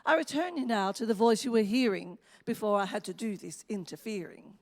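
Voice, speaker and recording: A woman's voice: mid-range voice, animated, a little fast, darkish tone, close to an everyday voice. A woman playing a pedantic interrupter who explains words in precise, confiding rhymed asides. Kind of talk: rhymed radio play aside. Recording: reverberant hall.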